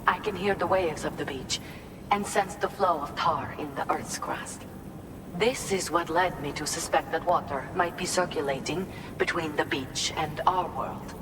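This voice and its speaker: A low-voiced woman scientist speaks in a video game cutscene. She is reserved and measured, explaining things with polite candor. Her voice carries long reverb over clearly audible noise. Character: reserved woman researcher confiding her strange affliction.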